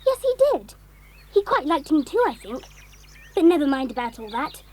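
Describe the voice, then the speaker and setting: An excitable, strong-willed tomboy in a children's radio adventure. The voice is very high and swoops about emphatically, full of youthful eagerness. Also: female voice, normal speed, background noise. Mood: indignant, eager. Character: eager, strong-willed tomboy girl, devoted to her dog. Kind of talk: children's audio drama acting.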